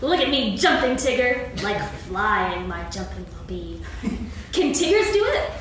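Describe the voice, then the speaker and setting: A woman playing a small, eager, childlike animal with an excitable manner in a staged reading of a children's play. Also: fairly high pitch, measured pace, slight background noise. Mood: excited. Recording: echoey hall recorded from far off.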